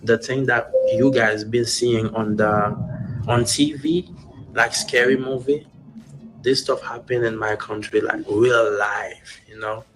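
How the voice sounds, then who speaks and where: A man from Haiti giving earnest personal testimony in a serious, measured way. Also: medium pitch, steady tone, over music, low-quality recording.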